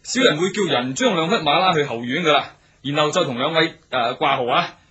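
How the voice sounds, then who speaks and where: A man's voice: fairly high pitch, expressive, fairly quick, dull audio, a crowd in the background, close to an everyday voice. A man playing an eager, deferential innkeeper in a radio drama, chatty and full of small interjections, with a Hong Kong Cantonese accent. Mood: obliging. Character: eager, talkative, obliging male innkeeper serving travellers.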